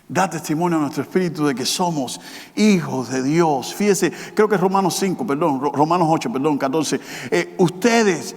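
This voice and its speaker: A man preaching a sermon as pastor, with emphatic repetition, rising to loud passages and dropping to quiet, slow ones. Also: high-pitched. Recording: long hall reverb.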